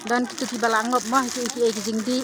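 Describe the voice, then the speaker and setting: A woman's voice: a little high, flat delivery, racing, heavy background noise. A woman giving casual, unscripted answers in an interview. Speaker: local interviewee.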